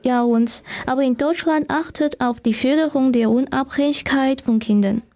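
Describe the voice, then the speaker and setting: High-voiced woman with a Chinese accent. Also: moderate pace, mellow. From China. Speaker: guest. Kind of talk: student radio show, reading prepared answers.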